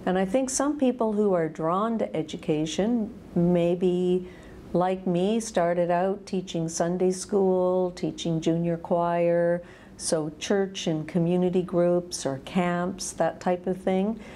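Older woman in her 60s talking slow and low, mellow voice, thinking out loud. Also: steady tone, a little hiss or hum. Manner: measured, thoughtful, with fillers. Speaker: school district administrator. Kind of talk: interview answers. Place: school office indoors. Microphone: lapel.

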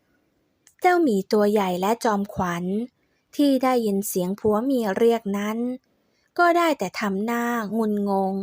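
A woman narrating a folk tale in a steady, measured storytelling voice. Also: mid-range voice, dry recording, clean studio sound.

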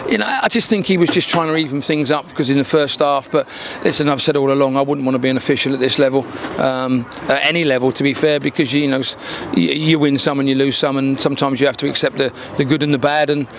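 A man's voice: medium pitch, steady tone, fast talker, hardly any pauses, a bit bright, slight background noise. An older man in his sixties, a football manager giving a candid post-match interview outdoors into a handheld mic, often repeating words as he restarts. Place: outdoors by a dark wall at a football ground.

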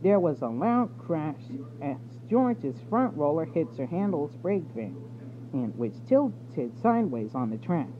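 Storybook reader, male, higher voice, slow halting delivery, phone-like noisy recording. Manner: halting, stumbling reading. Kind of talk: reading a children's storybook aloud. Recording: tinny phone-like audio with background noise.

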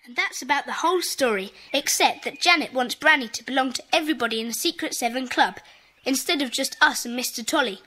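A woman's voice: high-pitched, bright, forceful tone. A woman playing an eager, bossy British schoolboy leading a club in a children's audio drama. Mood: brisk, businesslike. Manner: brisk, organising, enthusiastic.

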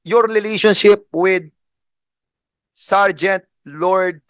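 A man's voice: high-pitched, takes it slowly, a bit bright, dry recording. A Filipino man chairing a congressional hearing, questioning a witness sternly in English and Tagalog. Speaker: committee chair.

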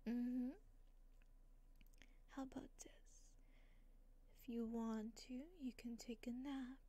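A woman speaking in soft, breathy whispers in a soothing ASMR roleplay as a comforting motherly caretaker. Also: on the high side. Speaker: ASMR creator.

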